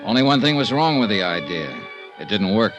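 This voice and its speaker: Casting: cool American private eye, low voice, dry clipped narration, old-time radio drama with music behind. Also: male voice, big pitch swings, fairly quick, highs cut off. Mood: matter-of-fact narration. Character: wry, cool male private investigator narrating his case. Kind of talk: 1940s-50s radio detective acting.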